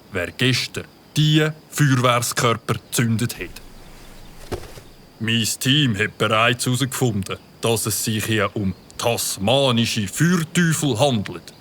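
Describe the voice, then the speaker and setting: A man's voice: medium pitch, fairly bright tone, a little hiss or hum. A man with a Swiss German accent voicing a stern, officious village policeman in a children's audio drama. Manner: officious, commanding.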